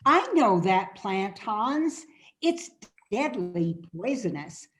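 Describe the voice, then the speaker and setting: A woman's voice: medium pitch, sing-song, slow pace. A woman reading a curious, earnest woman traveler in a radio play. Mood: alarmed.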